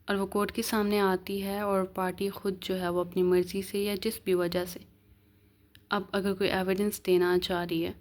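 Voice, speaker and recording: A woman's voice: medium pitch, darkish tone. A woman with a Pakistani accent giving an explanatory law lecture, mixing in English phrases and often checking that listeners follow. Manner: mixes English legal phrases into speech, frequent check-ins. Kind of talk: explanatory lecture, reading legal text aloud and explaining it.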